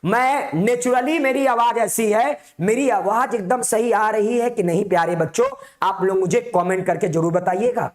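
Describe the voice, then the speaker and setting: A man with a loud, projecting voice, teaching school students in an online class, emphatically repeating key points and quizzing them with questions. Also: high voice, moderate pace. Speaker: biology teacher.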